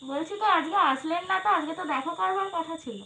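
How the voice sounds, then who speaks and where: A woman's voice: very high-pitched, quick pace. A woman making a casual prank phone call, playing an innocent stranger. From Malda, West Bengal, India. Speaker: prank caller. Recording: echoey room with faint background noise.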